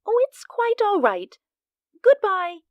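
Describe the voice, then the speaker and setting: A woman's voice: extremely high pitch, wildly swooping pitch, slow, no room sound, muffled recording, exaggerated voice. A woman voicing Miss Landis, a curt, offended neighbor on the phone in a radio drama. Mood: indignant. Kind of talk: hobby radio drama acting.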